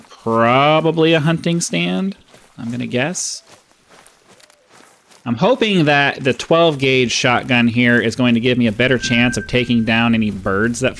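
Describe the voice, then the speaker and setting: A man's voice: mid-range voice, a bit bright. A man hosting a gaming video with casual, easygoing hunting game commentary, thinking aloud in short bursts close to the microphone.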